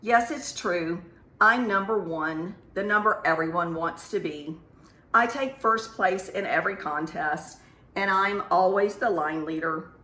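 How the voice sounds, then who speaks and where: A woman's voice: on the low side, measured pace, bright, forceful tone. A woman voicing a vain, boastful number one who sees itself as the star of a children's storybook. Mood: smug. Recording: echoey room.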